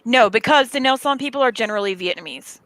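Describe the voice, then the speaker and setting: A woman's voice: on the high side, expressive, quick pace, fairly bright tone, dry recording. A woman in casual chat who explains things at length and laughs often.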